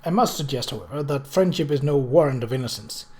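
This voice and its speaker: A man's voice: mid-range voice, lively intonation, quick pace, a little mellow, lightly acted. A man voicing a careful, suspicious police investigator in a novel reading, mild and formal in manner. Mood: mild, probing.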